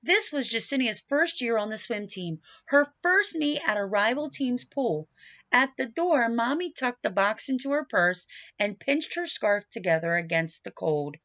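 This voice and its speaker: Slow-paced woman reading a picture book aloud. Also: fairly high pitch, animated, fairly bright tone, lo-fi sound. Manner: instructive, questioning, addresses young listeners directly. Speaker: teacher reading aloud to young children. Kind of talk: picture book read-aloud with questions and explanations.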